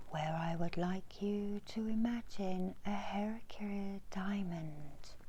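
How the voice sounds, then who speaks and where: A middle-aged woman whispers a guided ASMR meditation in a breathy, unvoiced voice. She speaks extremely slowly, with long pauses and a soft, mellow tone. There is clear background noise and ambience in the room. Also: on the low side, low-quality recording. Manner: slow, hushed, measured with long pauses. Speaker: reiki healer guiding a meditation. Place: small indoor room.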